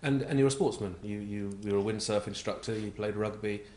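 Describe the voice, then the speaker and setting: A man's voice: on the low side, brisk, a little mellow, roomy sound. A man interviewing a guest, prompting him with leading questions and short affirmations. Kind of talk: conversational interview.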